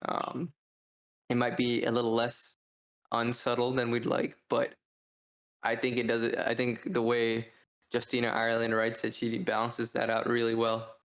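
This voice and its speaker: A man's voice: medium pitch, monotone, moderate pace, fairly bright tone. A man co-hosting a casual podcast book discussion, thinking aloud with hesitant restarts as he goes. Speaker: podcast co-host.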